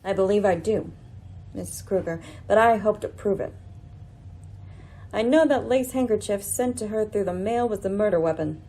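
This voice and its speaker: A woman acting a shrewd, commanding lady detective in a radio mystery, speaking in a measured, formal, theatrical way. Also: medium pitch, warm tone, close to an everyday voice, low-quality recording. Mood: triumphant, stern.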